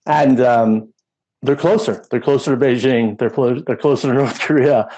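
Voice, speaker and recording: A man's voice: medium pitch, normal speed, mellow, rough recording. A man co-hosting a podcast, talking through current affairs in a casual, conversational way. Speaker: podcast co-host.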